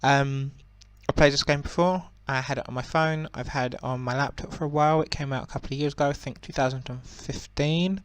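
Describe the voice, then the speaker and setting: A young man with a croaky voice giving relaxed, rambling commentary on a strategy game, with many ums and self-corrections. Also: medium pitch, fairly quick. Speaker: gaming channel host.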